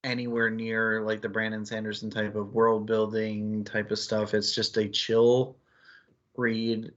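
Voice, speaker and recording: Male book club co-host, low and fairly even voice, rambling casual delivery, slightly dull stream audio. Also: unhurried. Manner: rambling, conversational, peppered with "like" and "you know". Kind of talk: casual book discussion chat.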